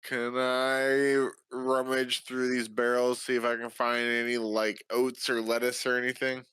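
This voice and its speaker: A man chats and banters as a player in a tabletop role-playing game. His voice is bright and forceful, at a middling pitch. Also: completely flat delivery, measured pace, clean tone.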